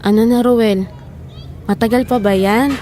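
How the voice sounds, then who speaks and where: A woman's voice: fairly high pitch, steady tone, unhurried, noisy background, lightly acted. A woman playing an anxious, doubting wife in an audio drama, questioning and plaintive. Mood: doubtful, upset.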